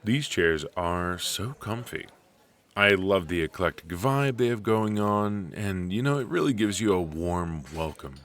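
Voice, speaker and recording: Deep-voiced, rambling, flirty coffee date played by a man. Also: lively intonation. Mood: friendly, charmed.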